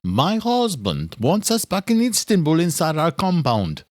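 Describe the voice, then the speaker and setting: A man's voice: fairly high pitch, wildly swooping pitch, unhurried, a little mellow, no room sound, clean studio sound, pitched above the performer's own voice. A man voicing a refined, commanding married duchess with a proper, ladylike manner in an audiobook thriller. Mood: firm, reassuring.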